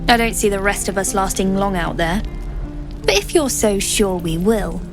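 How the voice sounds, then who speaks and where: A woman's voice: mid-range voice, normal speed, over music, lightly acted. A woman voicing a wry, pessimistic refugee gambler in a fantasy game, with a folksy drawl. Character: wry, pessimistic female refugee who likes a wager. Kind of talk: scripted game dialogue.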